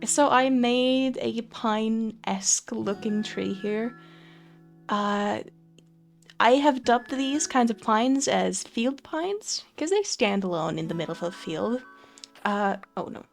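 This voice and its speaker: Slow-talking young woman. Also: on the high side, creaky, faint music behind. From Finland. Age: young adult. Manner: relaxed, chatty, frequent fillers and soft laughs. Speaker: Minecraft let's play creator. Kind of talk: casual gameplay commentary.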